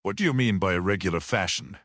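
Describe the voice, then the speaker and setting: A man voicing a brisk, sceptical police detective in a mystery audiobook, businesslike and questioning. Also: low voice, a bit bright, no echo, clean studio sound.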